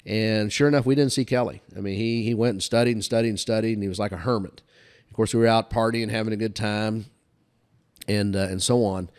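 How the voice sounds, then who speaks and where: Older guy, kinda low voice, casual folksy storyteller chatting about his past. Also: fairly even intonation, fairly quick, darkish tone, clean tone. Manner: folksy, recounts dialogue back and forth. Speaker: podcast guest telling career stories.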